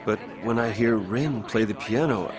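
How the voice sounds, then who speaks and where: A man's voice: fairly low pitch, normal speed, a crowd in the background, natural voice. An actor playing an earnest, casual, slightly goofy man in love in a video game cutscene. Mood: excited, proud. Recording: music behind the voice.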